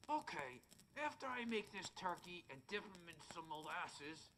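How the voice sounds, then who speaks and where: A woman playing a big, monstrous but doting brute who speaks in blunt, simple phrases with a gravelly voice, radio comedy style. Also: fairly low pitch, animated, unhurried, a bit bright. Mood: reluctant. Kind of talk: old-time radio comedy acting. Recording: noisy recording.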